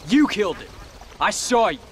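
An actor playing a polite but grim young American cowboy in a western video game. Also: male voice, high voice, sing-song, moderate pace, a bit bright, slight background noise. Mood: angry, vengeful. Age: young adult.

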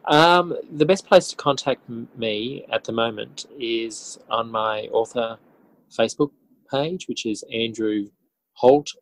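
A man answering as an interview guest in a casual, halting way, thinking aloud as he goes. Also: mid-range voice, rough recording. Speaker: interview guest, author and educator.

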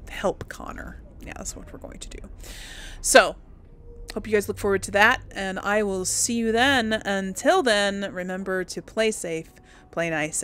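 A woman gives casual commentary as she plays a game, musing aloud in an informal way. Her pace is leisurely and her voice often creaks into fry. A faint layer of background noise sits under the recording. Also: mid-range voice, a bit bright. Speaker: host of a gaming playthrough series. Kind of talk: casual let's play commentary, chatting to viewers.

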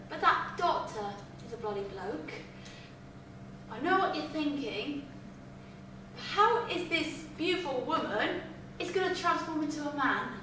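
A woman's voice: high voice, bright, forceful tone, exaggerated voice. A young woman playing a brash, vain, exasperated actress in a sassy, theatrical comic stage monologue. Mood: brash, boastful. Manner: sassy, theatrical, swearing confidante. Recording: very echoey, distant, noisy room.

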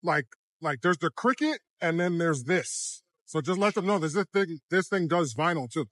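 A woman co-presenting off camera at a trade expo, chatty and quick, chiming in with extra details and corrections. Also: lowish voice, lively intonation, a bit bright, no room sound, studio quality. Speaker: co-presenter adding commentary. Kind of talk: casual chat. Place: busy indoor trade expo floor.

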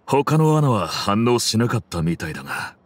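An actor playing a wary, seasoned male desert mercenary in an anime game, with a gravelly voice. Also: fairly low pitch, big pitch swings, normal speed. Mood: grim.

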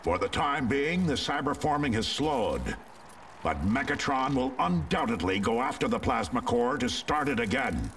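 A man voicing a noble, steady male robot leader in a video game, deep and grave. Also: normal speed, slight background noise, lightly acted. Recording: distant, with music.

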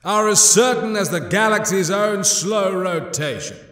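A man's voice: sits high, expressive, a little slow, fairly bright tone, reverberant, long reverb tail, voice pushed up. A man voicing a powerful, self-assured male crime lord in an audiobook, curt, composed and imperious.

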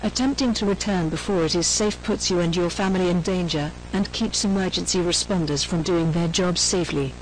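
A woman reading a scripted emergency announcement in a formal, measured, impersonal manner. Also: lowish voice, a little hiss or hum, poor audio.

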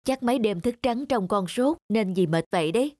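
A woman voicing a middle-aged stepmother in an animated moral tale, firm and caring as she talks to a child. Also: medium pitch, normal speed, no echo, close to an everyday voice. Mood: gentle, reassuring. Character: strict but loving stepmother of a young girl. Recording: loud music under the dialogue.

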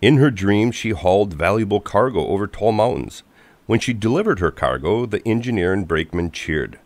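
Low-pitched man, animated storybook narration. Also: moderate pace, no room sound.

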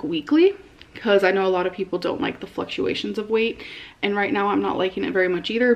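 A young adult American woman vlogging in a casual, slangy way, talking to camera at home. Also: mid-range voice. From United States. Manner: informal, slangy, frequent "like". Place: indoor living room at home.

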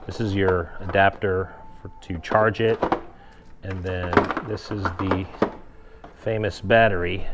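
A man's voice: fairly low pitch, slight background noise. A man casually walking viewers through a step-by-step install in a garage workshop, talking straight to them. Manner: relaxed, explains step by step, addresses viewers directly. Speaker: kayak fishing vlogger. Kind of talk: casual hands-on tutorial.

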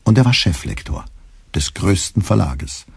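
Male literary narrator, very low, rough-breathy tone, wry delivery, dry recording. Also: very animated, a little slow, no echo. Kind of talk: scripted literary radio play narration.

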